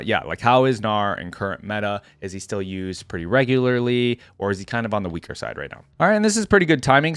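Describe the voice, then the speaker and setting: A man with a mid-range voice hosts a casual video game reaction. He talks conversationally, thinking out loud and slipping asides into what he reads. The sound is dry, with music playing behind him. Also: medium pitch, clear, resonant tone, no room sound. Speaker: YouTube reaction host.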